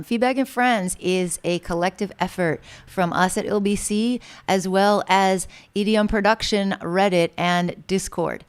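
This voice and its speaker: A woman's voice: lowish voice, clean tone. A woman in her thirties hosting a music feedback livestream, chatty and enthusiastic, with loose casual fillers. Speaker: livestream host. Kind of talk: upbeat casual stream hosting.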